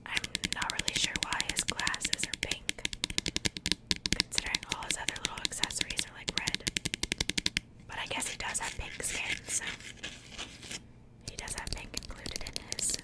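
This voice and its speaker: A woman speaking in a breathy, unvoiced whisper, chatting softly and informally in the style of an ASMR unboxing. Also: normal speed, pauses often, rough recording. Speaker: ASMR video creator.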